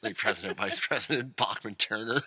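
A man's voice: on the low side, fairly quick, bright, forceful tone, husky. A man co-hosting a casual podcast, joking and rambling with self-mocking asides. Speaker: podcast co-host. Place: rural home studio.

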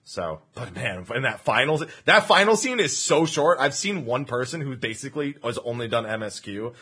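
A man hosting a casual group chat over an online voice call. His voice is bright and a little husky, and his pitch swings widely as he jokes and laughs along. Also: normal speed. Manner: animated, laughs often, jokes about the show. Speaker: podcast host. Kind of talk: casual group chat about a video game.

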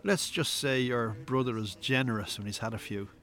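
A man's voice: medium pitch, moderate pace, a bit bright, natural voice. A man playing a callous Irish pub owner in a radio drama, dismissive and defiant. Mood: smug, defensive.

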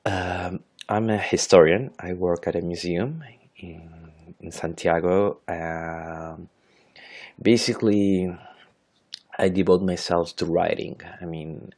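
Hesitant Chilean man, very low voice. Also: a little mellow. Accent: Chilean Spanish. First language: Spanish. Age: 30s. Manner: hesitant, many fillers and self-corrections. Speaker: podcast host. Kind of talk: unscripted solo podcast.

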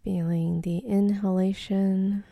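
A woman leading a guided meditation in a soft, breathy, half-whispered voice, calm and gentle, with long pauses between phrases. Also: medium pitch, dry recording.

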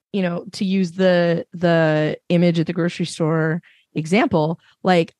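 A woman with a fairly low voice, speaking emphatically and passionately as a panelist in a casual discussion. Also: no room sound. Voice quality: reasonably low voice. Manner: emphatic, passionate, many fillers. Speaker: podcast panelist.

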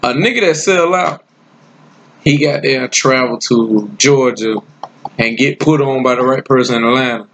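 A man's voice: medium pitch, moderate pace, a bit bright, a little hiss or hum, rough recording. A man from South Carolina, talking bluntly and profanely in a casual podcast chat. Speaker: podcast co-host.

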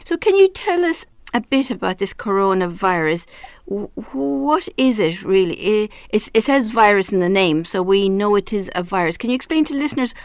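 Irish woman radio host, smooth voice, dry close sound, loose chatty delivery with fillers. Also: medium pitch, expressive, normal speed, dry recording. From Ireland. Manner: hesitant, chatty, many fillers and restarts. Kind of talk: casual radio interview.

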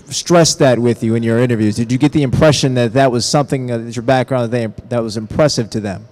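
Halting male reporter, average pitch. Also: a little mellow. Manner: halting, stammering over his questions. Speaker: sports reporter. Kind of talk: asking questions on a press conference call.

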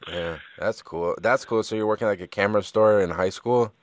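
A man's voice: lowish voice, a little fast, no room sound. A man hosting a podcast, asking questions in a laid-back, casual way and chiming in with easy encouragement. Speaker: podcast host. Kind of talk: casual interview chat.

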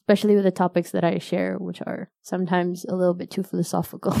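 A young woman whose first language is Arabic, chatting casually as a guest in an interview, self-deprecating and quick to laugh. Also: lowish voice, little pitch movement, vocal fry, warm tone, dry recording. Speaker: novelist guest.

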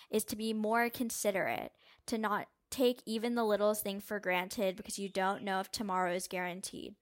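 Woman reading candid written answers. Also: mid-range voice.

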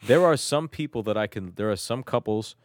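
Man from turkey, low voice, dry close sound, casual rambling profane chat. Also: animated, fairly quick, dry recording. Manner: rambling, profane, self-mocking, with many restarts.

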